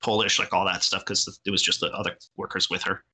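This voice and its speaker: Low, husky man chatting casually. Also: flat delivery, quick pace, bright, forceful tone. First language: Portuguese. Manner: rambling, heavy on filler words, laughs often. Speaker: podcast guest. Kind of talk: casual interview chat.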